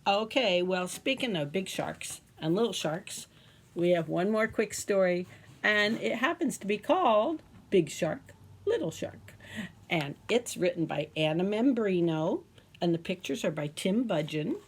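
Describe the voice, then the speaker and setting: A woman's voice: mid-range voice, animated, a little slow, husky. A woman reading aloud to young children as a storyteller, speaking simply and expressively and often asking them questions.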